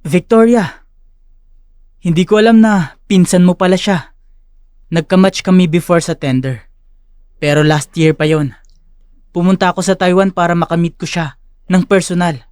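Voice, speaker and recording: A man's voice: high-pitched, moderate pace, pauses often, a little mellow, smooth, no echo, clean studio sound, lightly acted. A man playing a friendly, smitten young corporate executive in an audio drama. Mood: surprised.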